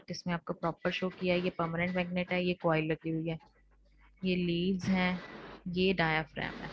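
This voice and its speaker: A woman's voice: lowish voice, slight background noise. An Indian woman teaching an online technical class, explaining step by step and restating each point in other words. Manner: explanatory, repeats points in rephrased steps. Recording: dull lo-fi online class audio.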